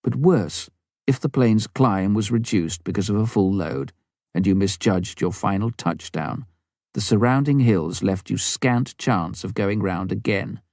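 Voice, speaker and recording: A man reading a memoir as an audiobook, in measured, clearly phrased scripted narration. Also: on the low side, a little mellow, no room sound, studio quality. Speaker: audiobook reader.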